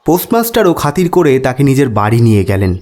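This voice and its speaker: A man narrating an audio story as its host, in a measured, dramatic and expressive storytelling manner. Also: medium pitch. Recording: background music under voice.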